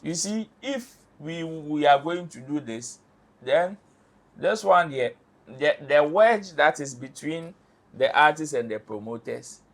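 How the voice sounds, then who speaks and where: This is a man with a Ghanaian accent and a high-set voice, talking in a studio. He argues with heat and keeps repeating his rhetorical questions to drive a point home. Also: lively intonation, slow pace, poor audio. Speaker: entertainment show panelist. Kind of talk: animated studio discussion.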